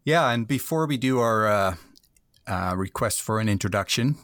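A man co-hosting a casual podcast, easygoing and quick to laugh. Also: on the low side, animated, a bit bright, no room sound, studio quality. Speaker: podcast co-host.

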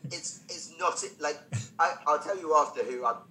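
A man's voice: fairly high pitch, normal speed, pushed and bright, soft background music, poor audio. A man speaking as a podcast guest, a stand-up comedian telling anecdotes conversationally with self-deprecating, wry asides.

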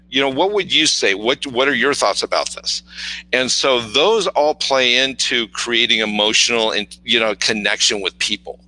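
A man's voice: mid-range voice, bright, forceful tone, no room sound, low-quality recording. A man with an authoritative voice, talking as a podcast guest in an emphatic, conversational, explanatory way. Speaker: podcast guest on mindset and communication.

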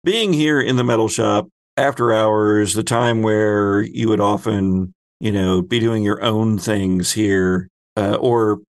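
A man narrating a tabletop roleplaying game as game master, often prompting the players with halting questions. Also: fairly low pitch, no room sound.

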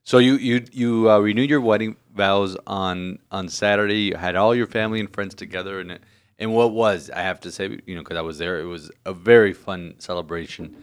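A priest co-hosting a podcast, a man who teases his co-host and asks quick questions in casual chat. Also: low-pitched, fairly even intonation, clear, resonant tone. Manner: teasing, chuckling, quick questions.

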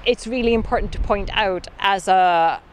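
A woman's voice: medium pitch, moderate pace. Earnest woman activist, outdoors. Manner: earnest, with many fillers and restarts. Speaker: activist and camp organiser. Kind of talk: interview answers. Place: outdoors at a camp. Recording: faint outdoor noise.

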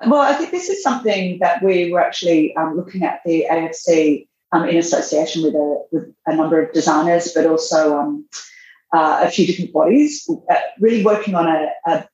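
A woman, a magazine editor answering interview questions in a measured way, thinking aloud as she goes. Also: deep voice, a little mellow, a little hiss or hum. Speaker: fashion magazine editor, guest. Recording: roomy, dull lo-fi sound.

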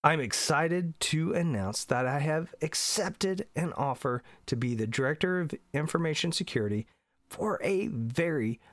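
A man's voice: a little high, lively intonation, a little slow, a bit bright, no room sound. A middle-aged man from Indiana, congested from allergies, hosting a solo podcast in an earnest, emphatic way. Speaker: podcast host.

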